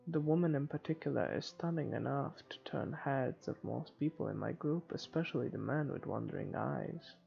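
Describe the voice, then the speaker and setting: Gravelly-voiced man reading a game story aloud. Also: medium pitch, moderate pace, few pauses. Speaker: video game let's player. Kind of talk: reading visual novel narration aloud. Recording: slightly muffled, music underneath.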